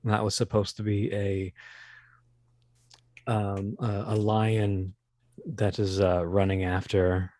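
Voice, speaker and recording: A man speaking casually as an interview guest, talking about his creative work in a halting, think-aloud way. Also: lowish voice, level tone, darkish tone, dry recording. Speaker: guest, audio drama producer and voice actor.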